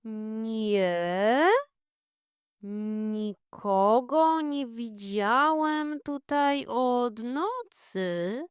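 Woman as a slow, modest badger neighbour. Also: on the high side, expressive, smooth, dry recording, studio quality. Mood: surprised. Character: modest, tidy, good-natured male badger neighbour. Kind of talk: children's audio fairy tale character voice.

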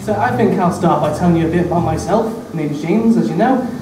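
A man's voice: high voice, expressive, fairly quick. An eighteen-year-old man doing a stand-up set, who stutters and repeats words, nervous and self-mocking, chuckling at his own jokes. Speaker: stand-up comedian. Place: small dark club stage. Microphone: handheld mic, filmed from far in the room. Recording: echoey club room taped from far off, loud room noise.

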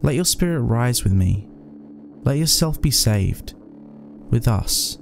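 A man playing a serene, devout student turned cult convert, formal and eerily calm in a scripted horror monologue. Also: medium pitch, takes it slowly, creaky, soft background music.

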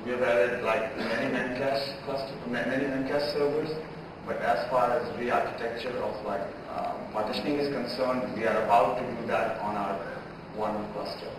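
A man's voice: mid-range voice, steady tone, moderate pace, hardly any pauses. A man answering on a conference panel, careful and searching for words. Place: large conference hall stage. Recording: echoey room from far off with background noise.

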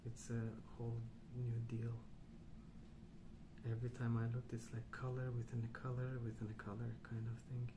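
A man speaking softly and breathily in an ASMR style, often near a whisper, slow and calm with hesitant pauses between short phrases. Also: mid-range voice. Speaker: ASMR host. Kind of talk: soft-spoken rambling ASMR. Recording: clear background noise.

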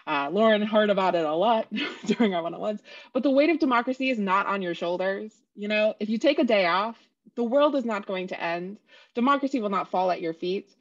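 A woman's voice: mid-range voice, animated, a little fast. A young woman on a panel over a video call, speaking earnestly and encouragingly, laughing now and then. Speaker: panelist, civic engagement organizer. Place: indoor room on video call. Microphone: headset. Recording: slightly dull video call audio.

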